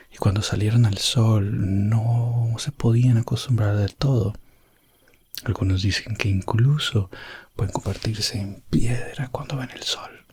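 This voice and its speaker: A man narrating a medieval ASMR roleplay as an elf prince explorer, in a hushed voice that slips in and out of whispering. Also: fairly low pitch, husky. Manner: hushed, drifting between soft voice and whisper. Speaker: ASMR storyteller.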